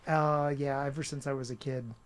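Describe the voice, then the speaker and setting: A man talking about his work as an author and editor in a casual interview, animated, with many restarts. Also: medium pitch, moderate pace. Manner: animated storyteller, many restarts and "you know".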